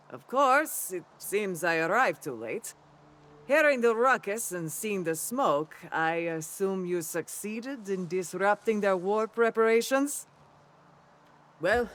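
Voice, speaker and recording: An actor playing a noble, loyal armored knight in a fantasy video game, speaking in a measured, formal, theatrical way with wry self-deprecation. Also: male voice, high voice, fairly bright tone, a little hiss or hum, exaggerated voice. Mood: wry, relieved.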